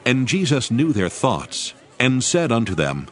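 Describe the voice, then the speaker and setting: A man's voice: medium pitch, big pitch swings, measured pace, crowd noise. A man narrating scripture from a script as a formal storyteller in an audio dramatization.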